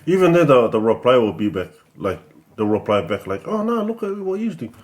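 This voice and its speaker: A man's voice: medium pitch, sing-song, fairly quick. A young man, a rapper guest, chatting casually and laughing as he acts out relatives' voices. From Pacific Islands. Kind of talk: casual chat.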